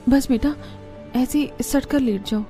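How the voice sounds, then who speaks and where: A woman plays a gentle, self-sacrificing young village mother in a cartoon story. Her voice is fairly high yet very mellow, tender and earnest, over background music. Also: slow pace.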